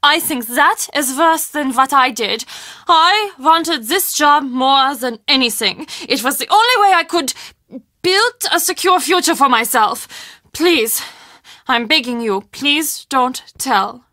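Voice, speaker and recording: Earnest, bright-voiced castle manager woman. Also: high-pitched, takes it slowly, no echo, heavily acted. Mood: upset, pleading. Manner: conversational, confiding, emotionally expressive. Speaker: video game character. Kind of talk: video game dialogue acting.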